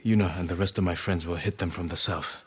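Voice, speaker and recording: Deep-voiced, grave samurai lord. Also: male voice, steady tone, breathy edge. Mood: grave, resolute. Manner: terse, measured, serious. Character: steadfast, grave young samurai lord leading a war. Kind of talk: dramatic video game cutscene acting.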